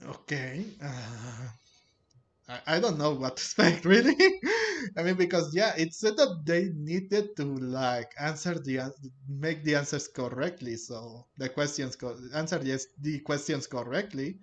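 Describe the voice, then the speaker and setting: Guy reacting to a game, slow and unsure, slightly high voice, music in back. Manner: hesitant, puzzled, halting. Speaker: gaming video commentator. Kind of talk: casual improvised game commentary.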